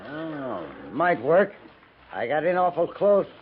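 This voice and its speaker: An actor playing an easygoing frontier army scout in a 1950s radio western, talking in terse, folksy phrases. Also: male voice, a little high, big pitch swings, takes it slowly. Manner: terse, folksy, dropped words. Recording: tinny 1950s radio recording, faint noise.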